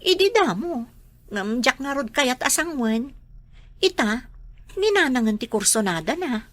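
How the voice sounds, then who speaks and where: A woman's voice: high-pitched, very animated, unhurried, a bit bright, no echo, exaggerated voice. A woman playing a dutiful young daughter in an Ilocano radio drama. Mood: surprised, questioning. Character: dutiful young daughter, startled then accepting.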